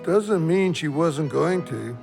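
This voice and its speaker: An actor in an audio drama playing a big, dim, soft-hearted sidekick with a guileless, slangy way of talking. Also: male voice, on the high side, animated, slow, vocal fry, faint music behind, full character voice. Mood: slow, mild. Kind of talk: gritty contemporary audio drama dialogue.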